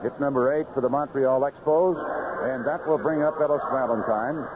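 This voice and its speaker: A man's voice: medium pitch, normal speed, narrow, tinny audio, background noise. A man calling baseball play-by-play on 1970s radio in clipped phrases with vivid descriptive calls, on a band-limited old broadcast. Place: ballpark broadcast booth.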